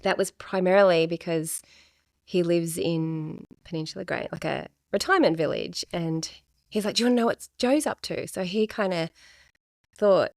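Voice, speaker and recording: Soft-spoken woman, gravelly low voice. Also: no echo, clean studio sound. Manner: soft-spoken, reflective, with many pauses and fillers. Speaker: podcast guest. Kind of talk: casual chat.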